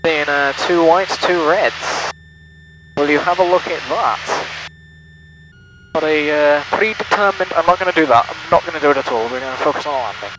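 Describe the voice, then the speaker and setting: Male pilot-vlogger, higher register, checklist-style running commentary, loud aircraft noise throughout. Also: moderate pace. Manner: clipped, repetitive checklist-like phrasing. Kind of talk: talking through flight manoeuvres aloud, with radio calls. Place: small plane cockpit in flight. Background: loud steady aircraft engine and radio.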